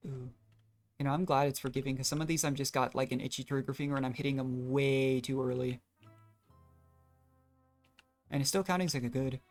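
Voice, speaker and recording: A man's voice: mid-range voice, fairly even intonation, fairly quick, long pauses. A young man giving casual, rambling live commentary as a game streamer. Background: game music.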